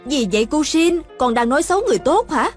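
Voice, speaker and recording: A woman voicing a brisk, nagging young mother in a cartoon dub, scolding and instructing with a southern Vietnamese accent. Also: high voice, animated, faint music behind. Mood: stern.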